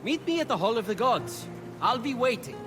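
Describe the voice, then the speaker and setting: An actor playing a glib, wry and playful trickster messenger god in an animated video game. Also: male voice, very high-pitched, brisk, music in the background. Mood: flippant, teasing. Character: quick-witted male trickster messenger god, flippant and playful.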